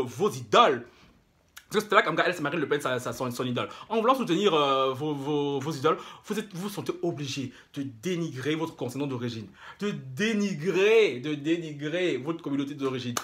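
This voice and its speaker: A man's voice: fairly high pitch, fairly bright tone. A man delivering an opinionated, casual monologue to his viewers, indignant and mocking, repeating phrases for emphasis. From Africa. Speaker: YouTube commentator.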